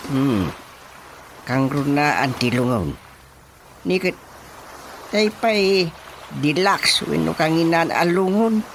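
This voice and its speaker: An actor playing a frugal older father in an Ilocano radio drama, speaking in a deliberate, questioning way. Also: fairly high pitch, lively intonation, slight background noise, rough recording. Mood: stern, inspecting. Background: background music.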